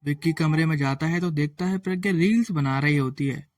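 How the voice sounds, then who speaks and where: Slightly high-voiced male storyteller. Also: a little fast, no room sound, clean studio sound. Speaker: narrator. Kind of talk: scripted moral story narration. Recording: faint background music.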